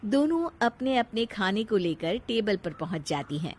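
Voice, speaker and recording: A woman narrating a scripted story in an animated, expressive way, with a teasing manner as she addresses the listener. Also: medium pitch, moderate pace, husky.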